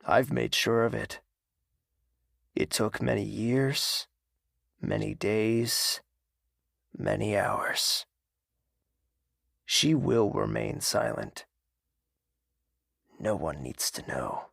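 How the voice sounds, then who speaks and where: An actor playing a cold, scheming villain in an audio drama, speaking in a deliberate, menacing monologue. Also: male voice, lowish voice, creaky, fairly bright tone, dry recording, clean studio sound, full character voice. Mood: cold brooding. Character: cold scheming male villain brooding over betrayal.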